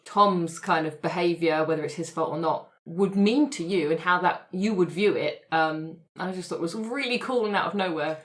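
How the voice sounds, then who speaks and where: A woman's voice: lowish voice, normal speed, hardly any pauses. A woman talking casually as a cast member in a group discussion of a game. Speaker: podcast cast member, role-player. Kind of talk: casual chat.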